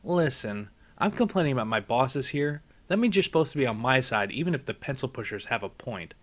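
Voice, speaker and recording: A man's voice: medium pitch, big pitch swings, a little fast, fairly bright tone. Man reading as brash young pegasus mare. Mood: exasperated. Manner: brash, griping. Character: young female pegasus pony, brash, cheerful complainer.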